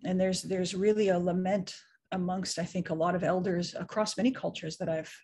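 Middle aged woman on a webcam, kinda husky, reflective storytelling, restarts a lot. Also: lowish voice, fairly even intonation, moderate pace, dark tone. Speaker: guest speaker. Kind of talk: video call conversation. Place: home room, indoors. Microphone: video call webcam.

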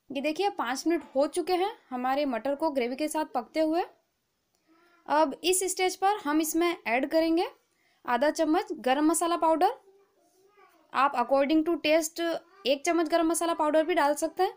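A woman hosting a cooking channel, giving a calm, patient, step-by-step recipe voice-over. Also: sits high. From India.

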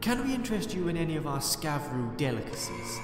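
A man's voice: fairly high pitch, sing-song, fairly quick, echoey room, in a large hall, over music, pitched above the performer's own voice. A man voicing a polite, eager male alien team leader in an audio story, with a courteous, welcoming manner.

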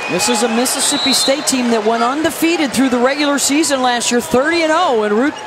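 A woman doing brisk play-by-play commentary courtside on a headset mic. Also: high voice, very noisy. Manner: brisk, calls scores and stats. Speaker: play-by-play announcer. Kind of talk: live basketball commentary. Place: courtside at a packed arena. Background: loud crowd and arena music.

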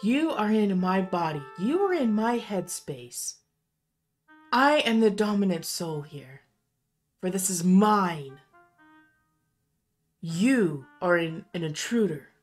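A woman's voice: on the low side, expressive, slow pace, pauses often, darkish tone. A woman playing an angry, defiant heroine in a fantasy audio drama, commanding and emphatic. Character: angry, defiant woman hero laying down rules. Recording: music bed under voice.

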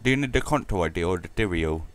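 A British man making a prank phone call, posing as a customer in a halting, deadpan way. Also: sits low. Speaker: prank caller. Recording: noisy home-recorded prank call.

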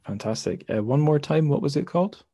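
A man hosting a book podcast, talking in a relaxed, friendly way with small fillers. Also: a little high, a little fast, breathy edge, no room sound, studio quality. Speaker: podcast host. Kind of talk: casual podcast interview.

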